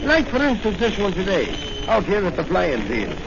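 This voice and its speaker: A man's voice: high-pitched, wildly swooping pitch, moderate pace, creaky, over music, exaggerated voice. An actor playing a pompous veteran policeman in the style of a 1940s radio serial, self-important and clumsy with long words. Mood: boastful. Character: blustering, self-important veteran Irish-American beat cop, male. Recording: noisy, muffled old radio recording.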